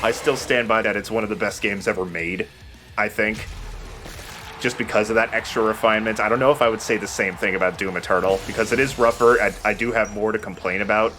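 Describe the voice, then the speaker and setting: A man's voice: fairly low pitch, fairly even intonation, fast talker, pushed and bright, faint music behind. A man giving casual, rambling and opinionated video game commentary as the host of a let's play.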